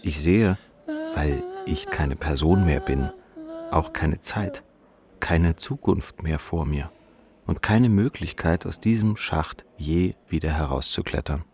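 An actor playing a despairing, sardonic narrator living through depression, speaking an introspective literary monologue in clipped fragments for a radio play. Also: male voice, rumbling low, expressive, slow, darkish tone, slight background noise, full character voice. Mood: bleak despair. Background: music.

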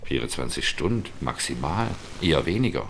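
A man's voice: a bass voice, very animated, fairly quick, fairly bright tone, slight background noise, full character voice. An actor playing a down-to-earth male private investigator in a German radio drama, talking in a matter-of-fact, colloquial way. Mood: brooding, matter-of-fact. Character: gruff, down-to-earth male private investigator reasoning through a case. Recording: music behind the voice.

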